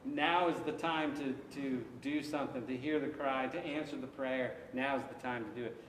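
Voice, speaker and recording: A man's voice: mid-range voice, steady tone, normal speed, a little hiss or hum. A male pastor leading an interactive Bible study, talking in a conversational way, taking questions and acting out little asides. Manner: conversational, takes questions, frequent restarts and acted asides. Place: church sanctuary. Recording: echoey church hall from far off.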